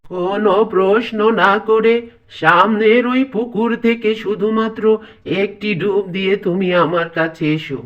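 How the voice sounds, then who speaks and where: A man's voice: high-pitched, unhurried, fairly bright tone, dull audio. A man playing a wise, commanding old village holy man in a cartoon fairy tale, solemn and knowing.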